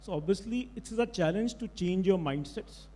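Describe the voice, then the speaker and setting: A man, a company executive speaking on a conference panel in a measured, explanatory way. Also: high voice, animated, mellow. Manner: measured, explanatory, mixes Hindi and English. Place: large conference hall stage. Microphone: handheld mic. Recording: long hall reverb.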